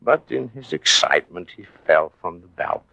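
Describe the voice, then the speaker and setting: An actor playing a scheming villain who speaks in a measured, formal way in a late-1940s radio drama. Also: male voice, low-pitched, animated, gravelly, sharp tone, dry recording, full character voice, rough recording. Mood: grave, explaining. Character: scheming male villain, smooth talker turned threatening.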